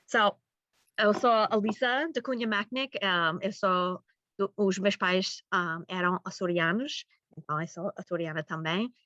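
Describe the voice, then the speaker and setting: A middle-aged woman from Massachusetts, a teacher presenting informally and haltingly, mixing in words. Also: mid-range voice, bright, forceful tone, no echo. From Massachusetts, United States. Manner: halting, mixes in English words. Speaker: high school language teacher presenting. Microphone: video call. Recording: muffled lo-fi webinar audio.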